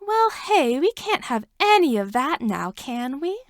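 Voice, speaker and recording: Smug demonic witch, high and purring, sing-song mockery, as the woman reading puts her on. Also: very animated, a little slow, no room sound, studio quality, exaggerated voice. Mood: taunting. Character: smug, taunting female demonic sorceress villain.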